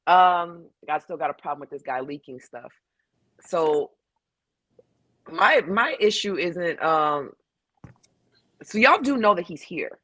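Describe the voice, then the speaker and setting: A woman's voice: fairly low pitch, animated, slow pace, pauses often, bright, forceful tone, no room sound. Blunt emphatic woman on a video call. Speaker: panel guest. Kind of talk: casual panel chat. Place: home in Hawaii.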